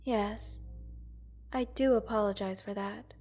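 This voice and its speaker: A woman voicing a gentle, curious alien scientist in an ASMR roleplay, speaking formally, precisely and reassuringly to the listener. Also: medium pitch, steady tone, takes it slowly. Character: gentle, curious multi-world alien scientist studying a captive human.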